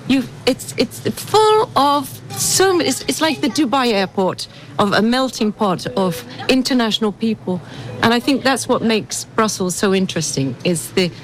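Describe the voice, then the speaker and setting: A woman's voice: a little high, big pitch swings, measured pace, a little hiss or hum, poor audio. A middle-aged woman from England with an English accent, answering casually in an interview. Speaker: interviewee, local resident.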